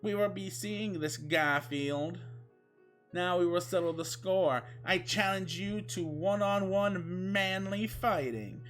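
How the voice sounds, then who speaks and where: A man voicing a pompous, vengeful villain prince in a theatrical, yelling manner for a comic story reading. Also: high-pitched, takes it slowly, soft background music, pitched above the performer's own voice. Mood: commanding, defiant.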